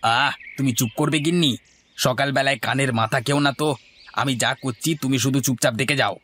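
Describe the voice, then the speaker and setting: A man's voice: mid-range voice, fairly even intonation, fairly quick, fairly bright tone, slight background noise, close to an everyday voice. A man playing a greedy, cheating milkman who is brusque and self-justifying. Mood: irritated, dismissive. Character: greedy, cheating village milkman, bossy husband. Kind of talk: scripted cartoon dialogue.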